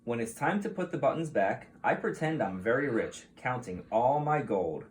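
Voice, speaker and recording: Man reading to children with lively, swooping intonation. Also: mid-range voice, moderate pace, a little hiss or hum. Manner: expressive, explanatory, addressing children with questions. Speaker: teacher reading a picture book aloud to young children. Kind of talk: read-aloud storytelling for young children.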